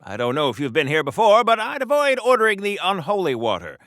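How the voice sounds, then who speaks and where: A golden-age comic hero, a chatty and courteous gentleman with old-time radio flair. His voice is bright and forceful, with very animated intonation, recorded close and dry. He is mannered and blithely oblivious, a video game character through and through. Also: sits high, fast, no echo, exaggerated voice. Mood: chipper, puzzled. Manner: mannered, wordy, theatrical, polite.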